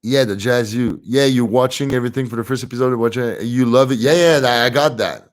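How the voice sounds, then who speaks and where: A man's voice: mid-range voice, brisk. A young man with a French accent, speaking English as a second language, casually chatting on a livestream and reading comments aloud with easy laughs. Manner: relaxed and chatty, often laughing softly. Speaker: livestream host reading chat.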